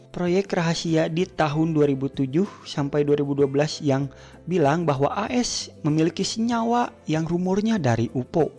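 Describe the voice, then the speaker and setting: A man's voice: a little high, darkish tone. A man hosting an informal comic explainer in a chatty, informative way. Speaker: host of a cartoon explainer show.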